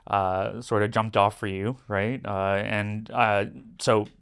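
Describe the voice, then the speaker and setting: A man with a fairly low voice hosts a podcast interview. He feels his way through questions with small pauses and keeps checking in with a quick "right?". He comes across as casual and conversational. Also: little pitch movement, fairly bright tone, clean tone. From Brooklyn, New York. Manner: halting, leading questions with "right?". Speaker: podcast host.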